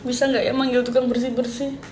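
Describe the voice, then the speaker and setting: A woman's voice: a little high, level tone, fast talker, warm tone, slight background noise. A woman chats casually on a late-night livestream, rambling in slang and answering comments as she goes. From Sidoarjo, East Java, Indonesia. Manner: chatty, slangy, rambling, reading and answering viewer comments aloud. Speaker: live streamer. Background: music.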